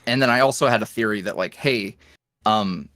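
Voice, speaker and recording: A man's voice: lowish voice, fairly quick, a bit bright, no echo, studio quality. A man speaking as a podcast guest in casual interview chat, with a wry, self-deprecating, rambling manner. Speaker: podcast guest, ASMR roleplay creator.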